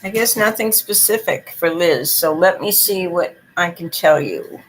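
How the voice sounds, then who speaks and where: A woman's voice: on the low side, animated, measured pace, a little hiss or hum, low-quality recording. A woman with a Boston accent giving chatty, long-winded tarot readings on a live stream. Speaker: psychic reader.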